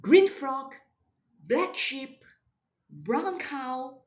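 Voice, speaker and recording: A woman reading a children's storybook aloud with expressive, deliberate delivery and dramatic emphasis. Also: high-pitched, lo-fi sound. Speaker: parent reading a picture book aloud. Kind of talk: animated children's storybook narration.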